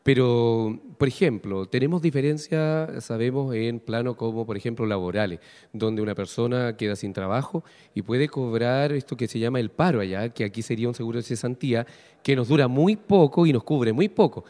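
A man's voice: mid-range voice, moderate pace, dark tone. A Chilean man hosts a radio interview in a mid-range voice. He asks long, probing questions that wander along and are padded with fillers. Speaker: radio host. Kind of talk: interviewing a guest.

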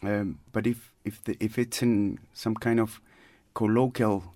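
Slow-talking man, English. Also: fairly low pitch, fairly even intonation, mellow, dry recording. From Chile. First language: Spanish. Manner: thoughtful, searching for words in a second language. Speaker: guest. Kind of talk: casual conversational interview.

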